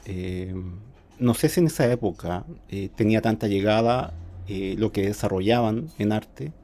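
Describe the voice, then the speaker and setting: A man in his forties with a Chilean accent, a student asking a hesitant, halting question in class. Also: on the low side, warm tone. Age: 40s. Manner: hesitant, halting, many fillers and restarts.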